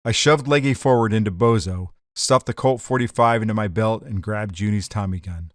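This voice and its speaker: A man's voice: lowish voice, moderate pace, no echo, clean studio sound, lightly acted. A man playing a tough, wisecracking gangster in a 1940s noir radio drama, with slangy hard-boiled delivery. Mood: wry narration. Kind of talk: noir detective radio drama acting and narration.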